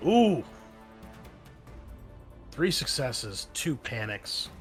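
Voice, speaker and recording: A man running a tabletop game as game master, in a casual, relaxed way with frequent fillers. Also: mid-range voice, extremely slow, faint music behind.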